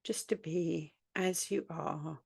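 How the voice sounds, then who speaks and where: A woman guiding a yoga nidra relaxation, speaking soothingly in short, unhurried phrases with long pauses between them. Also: sits low, steady tone, creaky, breathy edge, no echo, studio quality. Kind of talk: guided meditation, spoken relaxation practice.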